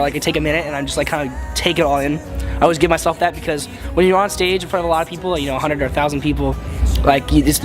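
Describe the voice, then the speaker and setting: Teen male musician, casual interview, talks fast and rambles, music bed underneath. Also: fairly high pitch. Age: late teens. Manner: rambling, many fillers and restarts.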